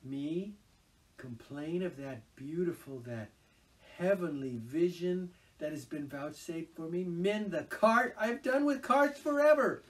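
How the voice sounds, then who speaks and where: A man's voice: on the high side, slow pace, far from the mic, pitched above the performer's own voice. A man voicing a rapturous, boastful male toad lost in a dreamy obsession, gushing and swooping through his lines. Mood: enraptured. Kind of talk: storybook reading aloud.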